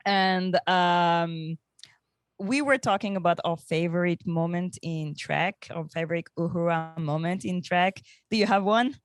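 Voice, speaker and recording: Slow-talking woman hosting, dry close sound. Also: lowish voice, smooth, no echo. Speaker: podcast host. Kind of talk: casual panel conversation.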